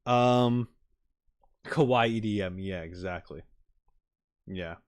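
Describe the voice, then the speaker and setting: Casting: male streamer, lowish voice, very unhurried, rambling casual reaction commentary with halting rephrasing. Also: no echo. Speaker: streamer reacting to game trailers.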